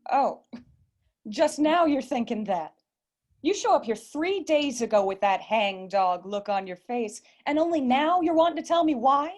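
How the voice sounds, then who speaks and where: A brazen young woman in a radio drama, a little high in pitch. She is sassy and bossy, quick to mock. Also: lively intonation, a little mellow. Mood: needling.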